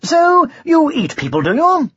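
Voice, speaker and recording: A man's voice: high-pitched, very animated, unhurried, vocal fry, slightly rough, dry recording, highs cut off, pitched above the performer's own voice, heavily acted. A man voicing a cheery, chatty, posh knight with a light, jaunty way of talking in a storybook reading. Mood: light, conversational.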